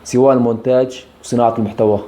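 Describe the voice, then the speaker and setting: Male vlogger, 20s, Syrian accent, casual address to followers, mid-pitched, extremely quick delivery. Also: flat delivery, warm tone. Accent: Syrian Arabic. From Aleppo, Syria. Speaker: YouTube content creator. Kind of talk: casual vlog answering follower questions.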